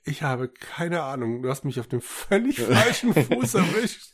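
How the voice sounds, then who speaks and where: A man's voice: fairly high pitch, big pitch swings, measured pace, breathy edge. A man co-hosting a podcast, talking casually with an enthusiastic, emphatic manner. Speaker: podcast co-host. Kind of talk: casual conversational podcast chat.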